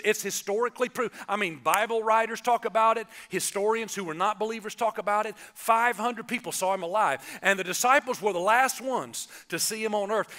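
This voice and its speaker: A man, preaching an animated Easter sermon from a church stage and calling on the congregation to answer. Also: sits high. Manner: animated, gestures broadly, calls on the congregation. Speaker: pastor. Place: church stage, large indoor auditorium.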